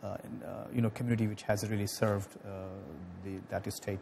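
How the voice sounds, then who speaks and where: A man from Pakistan hosting a studio interview show, speaking haltingly with many fillers and restarts. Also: on the low side, flat delivery, noisy background. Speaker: TV talk show host.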